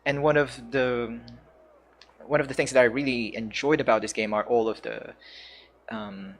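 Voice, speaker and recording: A man's voice: medium pitch, steady tone, normal speed. A man with a Filipino accent giving casual game commentary as a streamer, hesitant and searching for words. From Philippines. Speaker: streamer and English teacher.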